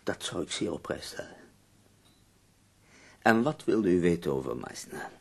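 A man acting in a Dutch radio play as an ailing, nearly blind poet, husky and gravelly, formal, tender and wry. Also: low-pitched, expressive, unhurried, darkish tone, exaggerated voice. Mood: courteous, wry.

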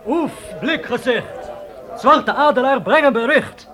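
An actor playing a young Dakota messenger in a radio drama, speaking urgently in halting, clipped broken phrases. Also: high-pitched, a loud crowd, heavy background noise. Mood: urgent, proud.

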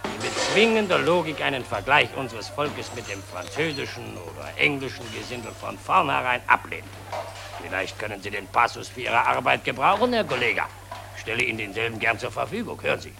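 An actor playing a pompous, patriotic gentleman intellectual who declaims lofty phrases in a satirical radio play. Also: medium pitch, sing-song, fairly quick, creaky. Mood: pompous, self-satisfied.

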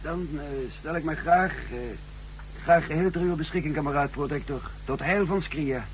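Man acting a nervous, halting spy in radio drama. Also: fairly high pitch, fairly even intonation, a little hiss or hum, close to an everyday voice, poor audio. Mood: deferential, bold. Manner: halting, stammering, formal. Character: nervous, hesitant male double agent posing as a spy chief. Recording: humming old 1960s radio recording.

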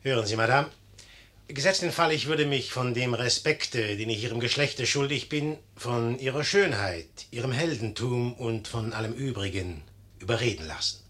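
An actor playing a vain, hot-tempered young general in a theatrical 1950s German radio play style, moving between condescension and bluster. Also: male voice, medium pitch, moderate pace, a bit bright, natural voice. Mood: scornful, bargaining.

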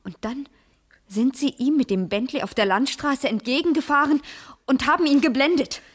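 High-voiced, self-assured female detective played by an actress. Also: normal speed, close to an everyday voice. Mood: accusing. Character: sharp, self-assured young French female private detective. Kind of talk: East German radio crime drama acting, 1980s.

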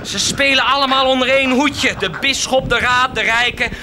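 A man's voice: very high-pitched, normal speed, background noise. A male actor playing a fiery, defiant rebel preacher in a radio drama, declaiming to rouse the townspeople. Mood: furious, inciting. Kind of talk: theatrical radio drama acting.

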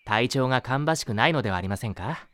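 A man playing a gentle, polite, concerned schoolteacher in an anime audio drama, speaking earnestly and reassuringly. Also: medium pitch, expressive, quick pace, no echo, close to an everyday voice.

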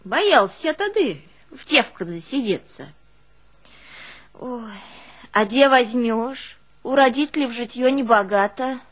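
Plaintive northern village old woman. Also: on the high side. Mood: rueful. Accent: northern Russian village dialect. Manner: folksy, emotive, with sighing exclamations and wailing quotes. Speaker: stage actress in a one-woman play. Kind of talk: dramatic monologue, folksy storytelling.

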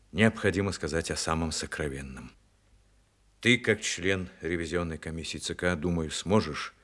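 An actor playing a principled, dying old war veteran in a Soviet radio drama, speaking in a solemn, measured, earnest way. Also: male voice, low-pitched, fairly bright tone, exaggerated voice.

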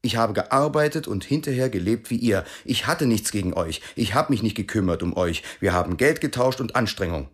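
Proud East German cycling champion, radio drama, defensive and emphatic, widely swinging intonation. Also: male voice, lowish voice, expressive, brisk, few pauses, breathy edge. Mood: earnest, defensive. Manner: emphatic, self-justifying, forcedly patient.

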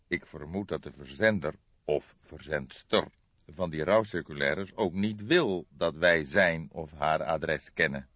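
A man reading an audiobook, voicing a calm, formal police detective with deliberate, composed delivery. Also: a bass voice, no room sound, muffled recording, full character voice. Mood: measured. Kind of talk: audiobook character voice.

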